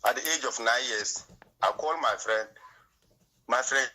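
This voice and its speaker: A man from Ghana with a Ghanaian accent, Twi his first language, arguing his view in a heated debate, halting and repeating himself. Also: medium pitch, bright, forceful tone, low-quality recording. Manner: repetitive, halting, argumentative. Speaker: guest.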